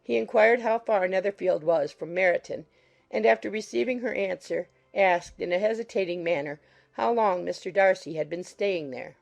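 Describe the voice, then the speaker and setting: A woman narrating a classic novel as a scripted audiobook, reading in a measured, literary manner. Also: mid-range voice, gravelly, darkish tone, soft background music.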